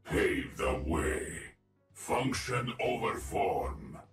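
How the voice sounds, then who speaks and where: Deep, robotic Eastern European villain. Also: male voice, very animated, reverberant, exaggerated voice. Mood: cold, commanding. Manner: slow, menacing, deliberate pronouncements. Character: cold villainous half-machine man obsessed with progress. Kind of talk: video game champion voice lines. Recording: game clip with loud music.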